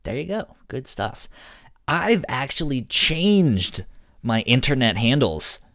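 Man with a stutter, lively pitch. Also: takes it slowly, no echo, clean studio sound. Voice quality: stutter, repeating syllables and words. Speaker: podcast co-host. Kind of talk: casual podcast chat.

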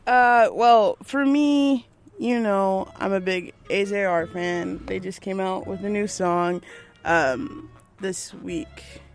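A woman's voice: mid-range voice, fairly even intonation, takes it slowly, faint music behind. A young woman radio DJ hosting a podcast in casual chat, bubbly and talkative.

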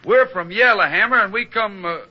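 Folksy Western townsman, dull old radio sound. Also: sits high. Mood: folksy, persuading. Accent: American Western drawl. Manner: rustic, drawn-out folksy phrasing. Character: folksy Old West townsman, plain-spoken, wry, earnest.

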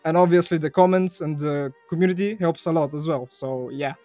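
Young man, English, easygoing podcast guest, highish voice, dry close sound. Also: fairly quick, dark tone, no room sound, soft background music. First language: Bulgarian. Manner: chatty, laughs easily, repeats phrases. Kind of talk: casual podcast interview.

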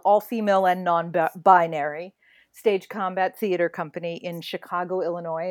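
A woman's voice: on the low side, no room sound, studio quality. A middle-aged woman co-hosting a casual podcast, talking with enthusiasm as she introduces her guest. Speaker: co-host.

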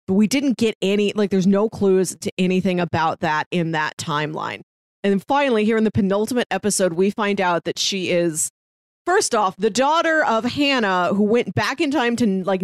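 A woman's voice: medium pitch, dry recording, studio quality. A woman co-hosting a podcast, chatting casually about a TV series and acting out her reactions as she retells it. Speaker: podcast co-host. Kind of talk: casual chat discussing a TV series.

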